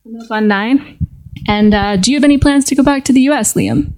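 A woman hosting a casual conversation at a table with friends, talking thoughtfully and pausing with fillers. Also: on the high side, a little mellow. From United States. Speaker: podcast host. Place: seated at a table with others.

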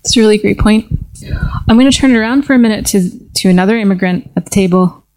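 A woman's voice: medium pitch, fast talker, darkish tone, low-quality recording. A woman from the United States hosting a casual table conversation, chatty and full of fillers and restarts. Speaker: host.

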